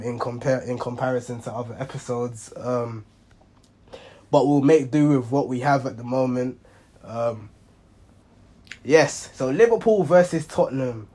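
A young man with a hoarse voice, hosting a football reaction show in a rambling, casual monologue full of ums and self-corrections. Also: mid-range voice, darkish tone. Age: young adult. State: hoarse, under the weather. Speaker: football reaction channel host.

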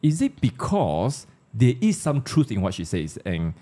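A man's voice: mid-range voice, wildly swooping pitch, a little slow, warm tone. A man with a Malaysian accent hosting a casual panel discussion, conversational and putting questions to his co-panelists. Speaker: discussion host.

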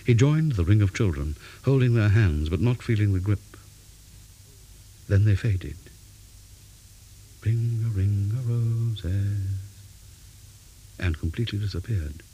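Deep, mellow man reading a ghost story. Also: animated, rough recording. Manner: measured, dramatic storytelling with pauses. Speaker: story reader. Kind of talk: scripted narration of a ghost story. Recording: faint background hiss.

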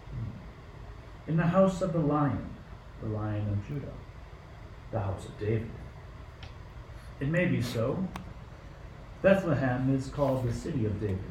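A man's voice: mid-range voice, very animated, takes it slowly, long pauses, a little mellow. An amateur actor playing a stern, suspicious tyrant king in a radio play, with an imperious, declamatory delivery. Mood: measured, scheming. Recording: roomy, distant mic, faint noise.

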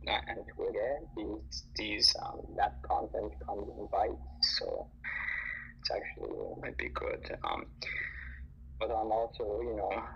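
Hesitant man with a gravelly voice. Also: lowish voice, animated, slow, a bit bright. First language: bilingual, learned English after another language. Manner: hesitant, many fillers and restarts. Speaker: listener joining a live audio discussion. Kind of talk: casual chat. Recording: dull lo-fi online audio with faint noise.

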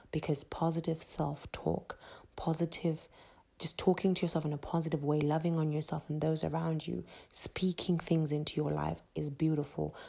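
A woman from Zimbabwe hosting a solo motivational podcast. She talks in an emphatic, repetitive, preachy way with a breathy voice. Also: sits low, dark tone. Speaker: podcast host.

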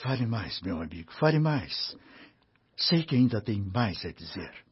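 An actor playing a calm, kindly male spiritual mentor in a radio drama, gentle but firm and measured. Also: on the low side. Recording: dull radio drama audio with faint music.

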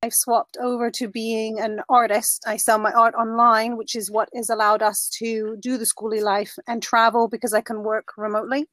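A woman in her forties, a podcast guest speaking in a brisk, matter-of-fact way. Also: fairly high pitch, little pitch movement, a bit bright, no room sound. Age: 40s. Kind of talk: casual interview chat.

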